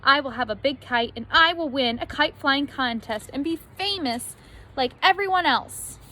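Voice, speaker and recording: A woman's voice: sits high, moderate pace, pushed and bright. Woman voicing a jealous, exclamatory little boy. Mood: wistful, envious. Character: jealous, pleading little boy, younger brother.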